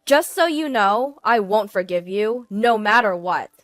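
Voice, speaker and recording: A woman's voice: mid-range voice, big pitch swings, unhurried, no room sound, clean studio sound. A woman voicing a cold, betrayed wife with a cutting, scornful manner in a narrated story. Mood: furious.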